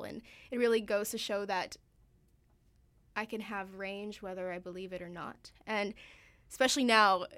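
A woman's voice: mid-range voice, fairly bright tone. A woman in her early twenties, an actor being interviewed, talking in a chatty way and laughing easily. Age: 20s. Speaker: actor guest. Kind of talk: casual interview.

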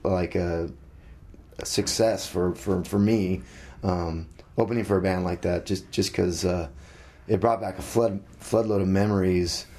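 A middle-aged musician being interviewed, talking casually with many halting restarts. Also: male voice, low voice, fairly even intonation, darkish tone.

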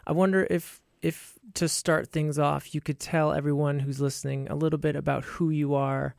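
A man's voice: fairly high pitch, level tone, normal speed, creaky, no echo. A man hosting a radio interview in the studio, asking questions with many hesitations and restarts. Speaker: radio host.

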